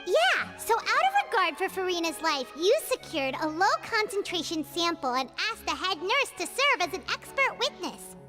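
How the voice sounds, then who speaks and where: A woman's voice: very high voice, sing-song, moderate pace, hardly any pauses, sharp tone, faint music behind, exaggerated voice. A woman voicing a tiny, childlike fairy companion in a video game, chirpy and earnest in a cartoonish style. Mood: thoughtful, relieved.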